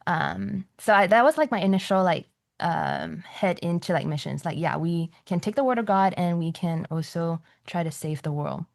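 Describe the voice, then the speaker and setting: A young woman from Malaysia speaks with a lowish voice, full of "um"s and "like"s. Her voice sounds dry and close to the mic, with no room behind it. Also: expressive, moderate pace, gravelly, dry recording. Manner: chatty, many fillers like "um" and "like". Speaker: nurse practitioner and missionary guest. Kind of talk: casual church interview.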